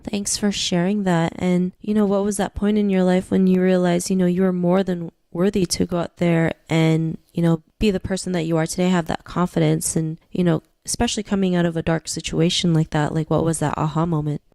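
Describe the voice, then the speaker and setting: A woman's voice: fairly low pitch, flat delivery, brisk, hardly any pauses, mellow, no echo, clean studio sound. A woman hosting a podcast interview, upbeat and encouraging as she welcomes her guest and asks questions. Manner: upbeat, encouraging, scripted intro. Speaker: podcast host.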